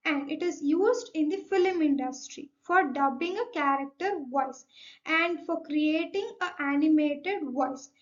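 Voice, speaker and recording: A young woman with an Indian accent gives an explanatory slide presentation, methodically signposting each point. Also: sits high. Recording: dull, lo-fi microphone sound.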